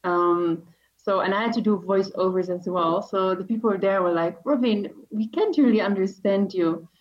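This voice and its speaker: Woman, Dutch accent, young adult, chatty, laughs often, frequent restarts, middle pitch, dull lo-fi recording. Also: moderate pace. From Netherlands. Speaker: podcast guest. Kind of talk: casual interview chat. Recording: dull lo-fi audio.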